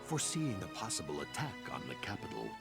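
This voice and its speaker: A man's voice: sits low, huge pitch swings, quick pace, creaky, full character voice. A man acting as a grave chronicler, reading scripted dramatic narration for a fantasy video game. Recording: loud game music behind the voice.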